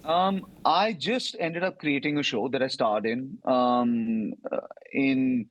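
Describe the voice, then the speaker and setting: A man with an Indian accent, a comedian answering interview questions in a reflective conversational way, often adding quick asides. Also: mid-range voice, no room sound, highs cut off.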